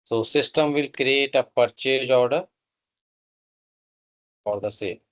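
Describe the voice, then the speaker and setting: A man narrating a step-by-step software tutorial in a halting, instructional way, with pauses between short phrases. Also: medium pitch.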